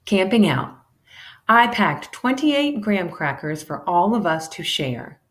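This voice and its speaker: A woman giving an animated, deliberate read-aloud of a children's educational storybook, shifting between character lines. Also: mid-range voice. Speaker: teacher reading a children's math book aloud.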